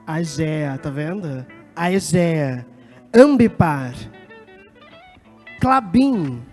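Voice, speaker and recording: A woman's voice: low voice, lively intonation, very slow, darkish tone. A Brazilian woman speaking as an event host, formally introducing guests from the stage, with music behind her in a reverberant hall.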